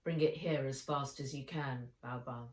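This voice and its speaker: Woman as a grave, low-voiced commanding man. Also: animated, measured pace, a bit bright. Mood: grave, urgent. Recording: roomy echo, distant from mic.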